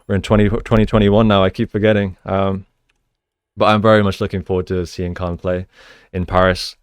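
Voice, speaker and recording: A man giving gameplay analysis commentary as a coach, explaining in a measured way and repeating phrases for emphasis. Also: low-pitched, little pitch movement, clear, resonant tone, no echo. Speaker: esports coach, video host.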